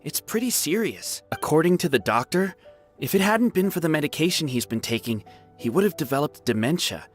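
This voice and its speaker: A male actor plays an excitable young veteran from a video game. His delivery is earnest and very animated, swinging up and down in pitch. Faint music plays behind him. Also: fairly quick, natural voice. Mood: earnest, concerned.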